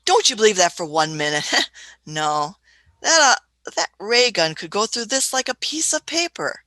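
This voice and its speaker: Woman doing a fussy old time traveler lady, keeps going hmm and uh, bright tense voice. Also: mid-range voice, very animated, a little slow. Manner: hesitant, muttering "hmm" and "uh". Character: elderly female time traveler, fussy, shrewd. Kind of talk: fan radio play acting.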